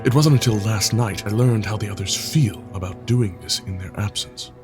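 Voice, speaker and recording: A man's voice: on the low side, normal speed, lightly acted. An actor playing a proud, guarded male paladin leader who speaks tersely. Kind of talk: audio drama acting. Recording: music bed underneath.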